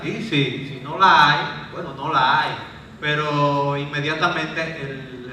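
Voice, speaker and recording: Bright-voiced man asking questions. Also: a little high. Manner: roundabout, repetitive questioning. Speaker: audience member asking a question. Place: large event hall. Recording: echoey hall from far off, faint noise.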